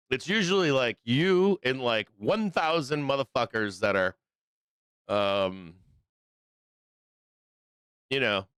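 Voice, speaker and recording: A hoarse middle-aged man with a Midwestern American accent hosting a live trading stream, rambling casually, swearing constantly and filling pauses with ums. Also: medium pitch, a bit bright, no echo. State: hoarse, losing his voice.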